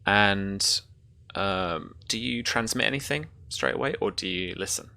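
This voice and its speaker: A game master improvises a tabletop roleplaying scene in a very low voice. The delivery is slow and halting, with a bright, forward tone as rulings and descriptions are worked out. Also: gravelly.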